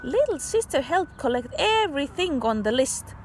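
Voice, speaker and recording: A woman's voice: high voice, big pitch swings, moderate pace, a little hiss or hum. A woman teacher reading a picture book aloud to young children, patiently explaining things in simple words.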